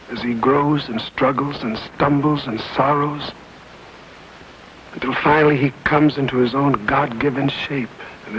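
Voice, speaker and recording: A man in his thirties recites a solemn monologue in a dark television studio. He speaks slowly and gravely at a middle pitch, with a faint hiss under the voice, and lets key phrases fall to a whisper. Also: rough recording. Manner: grave, slow, dropping to hushed whispers. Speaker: actor delivering a monologue. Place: dark studio set, indoors.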